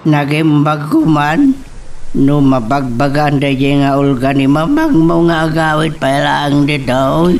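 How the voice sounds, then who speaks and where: An actor as a high-pitched, caring father. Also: animated, unhurried, vocal fry, darkish tone. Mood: relieved, hopeful. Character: caring, fussing rural father. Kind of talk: radio drama acting. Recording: slightly distant microphone.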